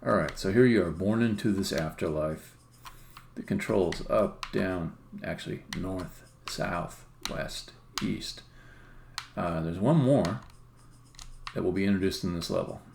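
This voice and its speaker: A man giving casual live-stream commentary as a game developer, explaining things in a rambling, halting way. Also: deep voice, vocal fry, darkish tone, slight background noise.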